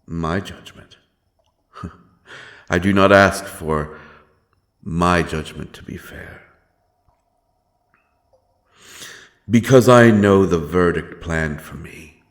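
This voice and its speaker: Man acting a deep, slow, grim wandering avenger. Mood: bitter, resigned. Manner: brooding, solemn, halting delivery with weighty pauses. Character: grim, doomed, godless wandering avenger of dark fantasy. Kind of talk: dramatic dark fantasy audio roleplay acting.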